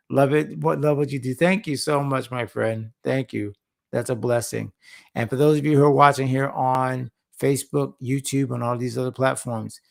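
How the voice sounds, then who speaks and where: Male livestream host, mid pitch, gravelly and slightly hoarse, earnest and repetitive, casual talk to camera. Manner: earnest, repeats words for emphasis, rambling asides. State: slightly hoarse after a long session. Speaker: host and founder of a faith-focused creative platform.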